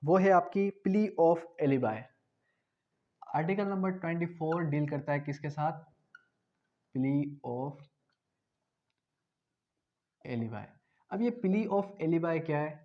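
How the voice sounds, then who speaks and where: A man from Pakistan giving an exam-prep law lecture, asking questions and then answering them, spelling out key terms slowly. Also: on the high side, muffled recording. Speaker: law tutor.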